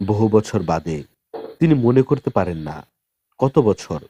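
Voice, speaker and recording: A man reads a novel aloud as a storyteller. His voice is soft-edged and dark in tone, with animated rises and falls in pitch. It follows a scripted narrative style. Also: takes it slowly, breathy edge, no room sound. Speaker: audiobook narrator.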